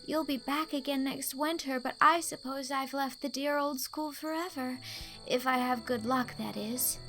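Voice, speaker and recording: An earnest, anxious teenage schoolgirl acted by a woman narrator from an audiobook drama. She chatters in a high voice with dramatic, wordy feeling, and music plays underneath. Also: fairly even intonation, moderate pace, pushed and bright. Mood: tearful, imploring.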